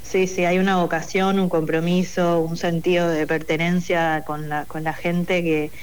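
Hesitant low-voiced Buenos Aires woman. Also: level tone, moderate pace, smooth. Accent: Buenos Aires Spanish. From Buenos Aires, Argentina. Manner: hesitant, many fillers and restarts. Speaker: project coordinator. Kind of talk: interview conversation.

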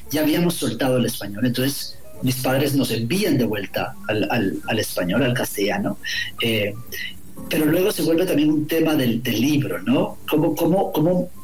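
A man from Guatemala, a novelist, answering interview questions in a thoughtful, halting way, repeating words and tagging phrases with "no?". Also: mid-range voice, darkish tone, roomy sound, rough recording. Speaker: novelist guest.

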